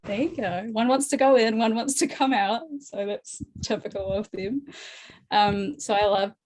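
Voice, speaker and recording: A woman's voice: medium pitch, steady tone, moderate pace, a little mellow, slight background noise. A woman in her forties with a New Zealand accent, talking casually as an interview guest over a remote call. She is chatty and easygoing, with plenty of fillers and frequent laughs, in a mid-range voice. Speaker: guest artist.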